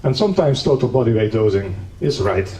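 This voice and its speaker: Low, mellow-voiced Dutch-born lecturer. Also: male voice, fairly quick. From Netherlands. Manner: explanatory, dry humor, steady lecturing with fillers. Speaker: professor giving a conference lecture. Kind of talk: academic lecture. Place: conference hall. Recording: echoey hall, distant mic, faint noise.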